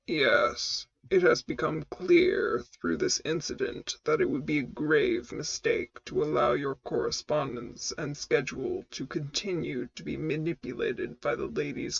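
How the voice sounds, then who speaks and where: A woman voicing a stern, commanding male mentor in armor, with deep gravelly tones and formal, measured speech. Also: lo-fi sound, heavily acted. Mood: grave, firm.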